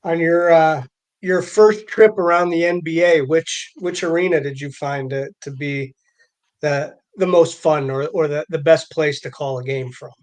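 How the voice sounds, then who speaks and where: An American man hosting a casual chat, halting and repeating words as he looks for his phrasing. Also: on the high side, fairly even intonation. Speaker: live show host interviewing a guest.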